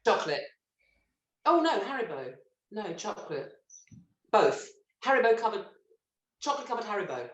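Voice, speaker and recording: A middle-aged woman from Britain, a stage actress telling lively, self-mocking stories in an interview and laughing often. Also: medium pitch, normal speed, pauses often. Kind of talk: relaxed anecdotal interview chat. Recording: roomy echo.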